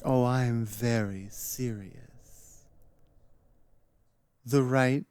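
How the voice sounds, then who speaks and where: Casting: performer as dominant giantess captor for ASMR roleplay; very slow, deliberate delivery, stern, taunting, condescending. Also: medium pitch, fairly even intonation, a little mellow, smooth. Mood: cold, taunting.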